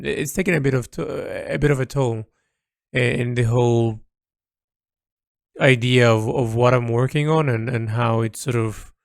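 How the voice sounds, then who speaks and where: A man talking casually and reflectively in a personal audio log, halting as he searches for words and repeats himself. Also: mid-range voice, creaky, no room sound, studio quality. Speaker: creator recording an audio log.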